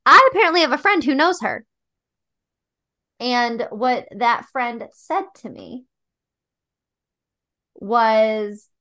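Podcast co-host, young woman, high-pitched voice, reflective casual delivery, dry close sound. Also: sharp tone, no room sound. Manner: thoughtful, reflective, many "like" and "um" fillers. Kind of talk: casual chat about a dating show.

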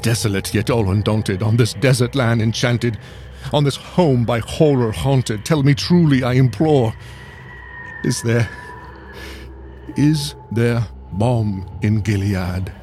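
A man's voice: mid-range voice, takes it slowly, slight background noise, pitched above the performer's own voice, close to an everyday voice. A man playing a grief-stricken, haunted gothic narrator in a dramatized poem, theatrical and intense. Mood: desperate pleading. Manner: theatrical, intense, swooping from hush to shriek. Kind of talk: dramatized poetry reading.

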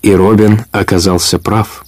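A storyteller narrates an adventure audio play in a lowish voice. The delivery is measured and scripted, carrying the tale along. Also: male voice, little pitch movement, a little mellow. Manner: measured, clearly told storytelling.

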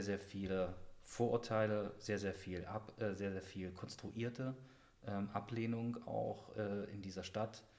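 Deliberate man with a lowish voice. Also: darkish tone. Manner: deliberate, halting, formal word choice. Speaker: interview guest, bookshop owner. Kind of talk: reflective interview talk.

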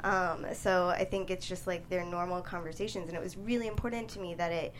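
A woman answering interview questions casually as a screenwriter, in a halting, thoughtful way. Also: lowish voice, steady tone. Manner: hesitant, thoughtful, halting delivery. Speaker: screenwriter guest being interviewed.

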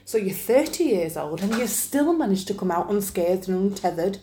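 A woman's voice: medium pitch, big pitch swings, normal speed, mellow, slight background noise. A woman with a Northern English accent, bantering casually and playfully in colloquial speech as a podcast co-host.

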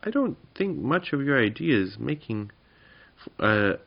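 A man's voice: medium pitch, very animated, a little slow, vocal fry, lo-fi sound, voice pushed up, exaggerated voice. A man voicing a gloomy male goblin who complains in a children's storybook.

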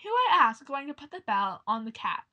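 A woman voicing a wise, weary old male chief mouse who speaks in a measured, grave way. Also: sits high, very animated, lo-fi sound.

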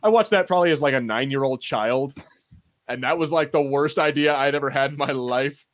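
A man co-hosting a podcast, chatting casually in a halting, rambling way with repeated false starts. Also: mid-range voice. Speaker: podcast host. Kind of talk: casual pop-culture banter.

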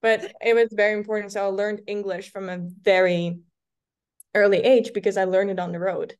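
A woman's voice: mid-range voice, little pitch movement, fairly quick, clean tone. A woman in her twenties from the Netherlands with a light Dutch accent, whose first language is Dutch, telling stories as a podcast guest with plenty of restarts. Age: 20s. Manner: chatty storytelling, many restarts and fillers. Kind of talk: casual podcast conversation.

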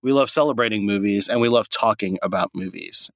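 A man's voice: lowish voice, brisk, dry recording. A man co-hosting a podcast, chatty and curious, asking the guest questions. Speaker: podcast co-host. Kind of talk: casual podcast chat.

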